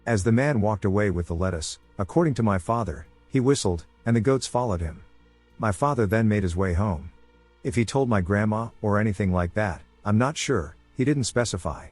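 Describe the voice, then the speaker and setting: A man narrating scary first-person stories in a calm, measured storytelling voice. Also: lowish voice, dry recording, soft background music. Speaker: narrator reading listener stories. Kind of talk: scripted horror story narration.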